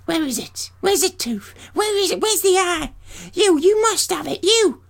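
A woman voicing ancient grey hag sisters in a storybook reading, shrieking and squabbling in a high, thin voice. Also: expressive, normal speed, vocal fry, full character voice. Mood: frantic. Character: shrill ancient crone sisters, squabbling and whining.

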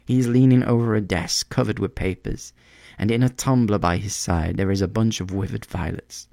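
A man voicing a gentle, sorrowful prince statue in a storybook reading, speaking tenderly and pleadingly. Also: sits low, gravelly, dry recording, clean studio sound.

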